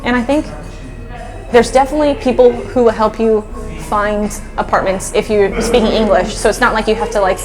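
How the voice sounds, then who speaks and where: A woman's voice: mid-range voice, fairly even intonation, fairly quick, over music. A young woman, an expat English teacher, chatting casually in an interview with many fillers and frequent laughs. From United States.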